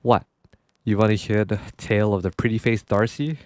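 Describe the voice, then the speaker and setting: A man's voice: fairly low pitch, fairly even intonation, moderate pace, a little mellow, no echo, studio quality, natural voice. A man with a nasal voice reading the lines of a boastful, friendly young male prison inmate from a game. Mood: chummy boastful. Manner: boastful, chummy, showing off.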